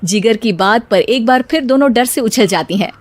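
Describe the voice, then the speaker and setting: A woman narrating a cartoon story in a brisk, scripted way. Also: fairly high pitch, lively intonation, no room sound.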